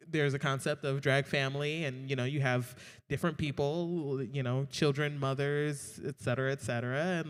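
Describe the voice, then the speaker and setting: Thoughtful man panelist, smooth voice. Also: mid-range voice. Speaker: drag performer on a panel. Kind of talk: panel discussion. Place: university event hall. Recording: reverberant hall.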